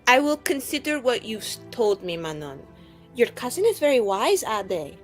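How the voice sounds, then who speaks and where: A woman's voice: fairly high pitch, sing-song, normal speed, faint music behind. A woman playing a tabletop roleplaying game, improvising in character in an informal, exasperated and emphatic way.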